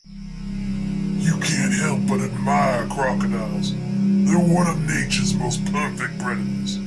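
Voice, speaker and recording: A man voicing a suave, cruel crime boss in a comic dub, measured, formal and ominous. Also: mid-range voice, loud music behind the voice, natural voice. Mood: menacing. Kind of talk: comic-book dub drama.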